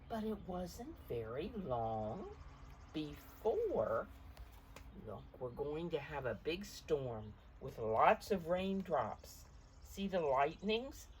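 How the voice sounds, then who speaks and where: An older woman with a low, very expressive voice talks to small children as she reads a picture book. She explains things simply and asks questions, at an unhurried pace. She is recorded from a little distance. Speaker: children's storybook reader and teacher. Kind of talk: picture-book read-aloud for preschoolers, talking to the children. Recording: distant mic with faint background noise.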